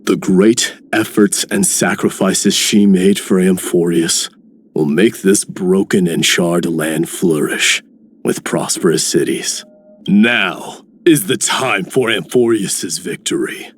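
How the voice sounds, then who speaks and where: Deep, slow, solemn warrior. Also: male voice. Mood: solemn, resolute. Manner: solemn, commanding. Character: stern, noble male warrior. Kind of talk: video game character voice lines.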